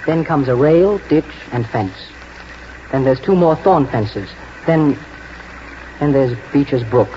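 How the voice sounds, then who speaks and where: A man playing an earnest, protective young horse trainer in a 1940s radio drama, urgent and halting as he instructs. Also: medium pitch, animated, dark tone. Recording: muffled, noisy old 1940s radio broadcast.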